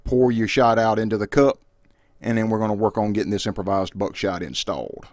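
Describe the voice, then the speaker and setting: A man's voice: fairly low pitch, a little fast, a little mellow. A middle-aged man giving casual how-to vlog talk, folksy and speaking directly to viewers. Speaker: outdoors and shooting vlogger.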